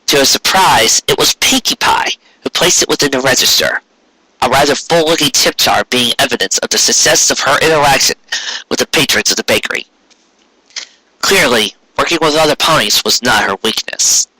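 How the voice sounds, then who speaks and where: A man reading a story aloud as narrator, with expressive, earnest delivery. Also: medium pitch, moderate pace, husky, no echo, poor audio. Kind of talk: scripted fan fiction storytelling.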